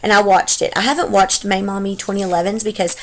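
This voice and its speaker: A woman's voice: mid-range voice, fairly even intonation, quick pace, slight background noise, low-quality recording. A young woman with a heavy Texas accent, chatting casually and rambling through a home vlog, recorded on her computer's webcam mic. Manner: chatty and rambling, many fillers. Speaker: stay-at-home mom vlogger. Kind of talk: casual unscripted vlog chat. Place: home, partly the living room.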